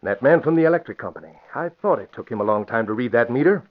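An actor playing a suspicious, menacing crime boss in 1940s radio drama style, clipped and commanding. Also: male voice, mid-range voice, lively intonation, quick pace, lightly acted. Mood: scornful. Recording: muffled, hissy old radio recording.

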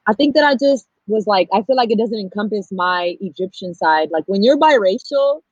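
A woman's voice: medium pitch, expressive, moderate pace, no room sound, highs cut off. A woman, candidly and reflectively telling her own story in casual talk. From Pasadena, California, United States. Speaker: podcast guest, storyteller and activist.

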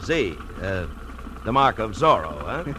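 An actor playing a wry, tough private detective in a classic radio noir drama, with clipped talk. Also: male voice, fairly low pitch, sing-song, unhurried, noisy background. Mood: casual, wry. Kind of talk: classic radio noir acting and first-person narration.

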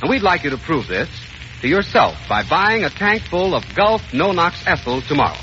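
A man's voice: on the high side, sing-song, normal speed, bright, forceful tone, faint music behind. A man reading a scripted commercial as an old-time radio announcer, with polished salesmanship. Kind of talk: 1930s-40s radio ad read. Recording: muffled old radio recording, faint noise.

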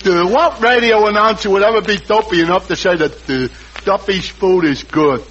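An actor playing a good-natured, dim-witted tavern regular with a dopey manner in 1940s radio comedy. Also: male voice, high voice, a bit bright. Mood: skeptical, dopey. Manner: slow-witted, dopey, hesitant. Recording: dull, noisy vintage radio recording.

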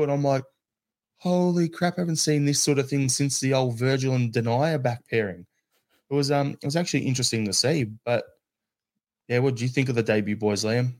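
A man with an Australian accent co-hosting a casual football podcast, animated and acting out his reactions. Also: mid-range voice. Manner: animated, acts out reactions in quotes. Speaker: podcast co-host.

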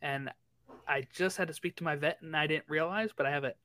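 A man's voice: on the high side, fairly quick, pushed and bright. A man co-hosting a soccer podcast, chatting casually and rattling off the weekend's match schedule. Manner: rattles off fixture lists, chatty. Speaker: podcast co-host. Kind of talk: casual sports chat.

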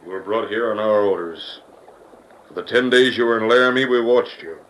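An actor playing a cold, commanding outlaw boss who talks in curt threats, in an old-time radio drama. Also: male voice, on the low side, fairly even intonation, fairly quick, a bit bright, lightly acted. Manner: curt, menacing. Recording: noisy vintage radio recording.

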